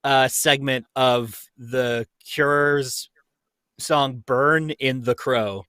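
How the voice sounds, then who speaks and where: Male panelist, bright forward voice, dry close sound, relaxed informal conversation. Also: medium pitch, very slow, clean tone, dry recording. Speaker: podcast panelist. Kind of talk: casual chat about movies. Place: remote group livestream.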